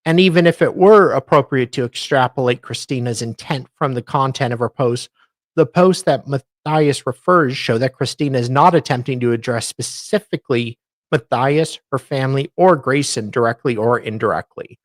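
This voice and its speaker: A man's voice: medium pitch, moderate pace, no echo. A man co-host reading formal text aloud, stumbling now and then over the words.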